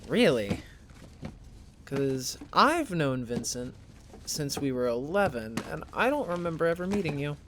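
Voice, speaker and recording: An actor plays a sardonic, suspicious teenager in an audio drama. The middle-pitched voice swings expressively, hesitating and grumbling, with faint noise behind it. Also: big pitch swings, a little slow, fairly bright tone. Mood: indignant. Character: Wynn, awkward, sarcastic, suspicious teenage best friend. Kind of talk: naturalistic audio drama acting.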